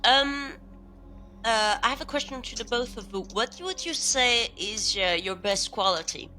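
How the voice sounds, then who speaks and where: A woman's voice: a little high, animated, measured pace, bright, forceful tone, faint music behind, rough recording. A woman playing an impatient, sceptical team member in a sci-fi audio drama, direct and probing.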